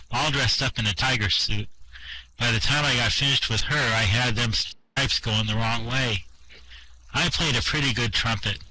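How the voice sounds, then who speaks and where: A swaggering old drifter who has seen it all , played by a man, trading boasts in a radio drama. His voice is bright and cutting, and he talks like a man with nothing left to lose. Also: medium pitch, a little hiss or hum, low-quality recording. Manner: swaggering tall-tale bragging. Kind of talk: amateur radio play reading.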